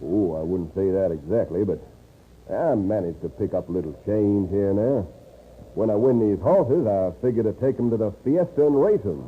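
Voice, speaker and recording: An actor playing a cocky, grumbling frontier gambler with a folksy Western drawl in a radio drama. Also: male voice, on the low side, animated, moderate pace, close to an everyday voice. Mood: grudging, cocky. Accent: American Western drawl. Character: brash, grumbling frontier gambler and horse racer. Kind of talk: old-time radio western acting. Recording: noisy, narrow-band old radio recording.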